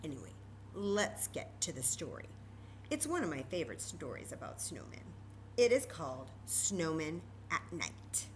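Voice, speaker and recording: A woman's voice: deep voice, very animated, moderate pace, slight background noise, rough recording. An American woman hosting a children's storytime, speaking expressively and directly to young listeners, mixing read-aloud with chatty asides. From Texas, United States. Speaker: storytime reader and host.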